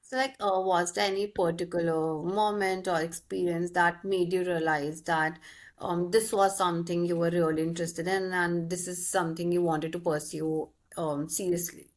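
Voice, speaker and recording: A woman's voice: fairly low pitch, level tone, moderate pace. A woman hosting an interview, asking friendly, encouraging questions. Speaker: podcast host.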